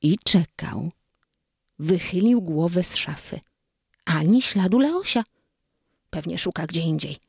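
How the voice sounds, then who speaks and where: A woman's voice: fairly low pitch, expressive, takes it slowly, gravelly, long pauses, darkish tone, slightly rough, dry recording, clean studio sound. A woman narrating a children's story expressively, acting out the dialogue.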